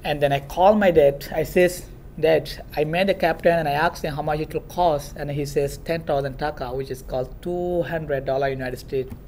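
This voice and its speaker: A man's voice: fairly high pitch, fairly even intonation, moderate pace, a little mellow, slight background noise. A young man from Myanmar, a native Rohingya speaker, giving an earnest talk as a guest speaker in a classroom, filmed by a far camera. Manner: earnest, storytelling with hand gestures.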